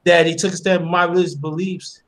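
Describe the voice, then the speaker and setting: Man, fifties, high-pitched, earnest interviewer, casual chat, stammered restarts. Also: level tone, fast talker, rough recording. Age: 50s. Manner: earnest, repeats words when starting sentences. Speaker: channel host interviewing a guest.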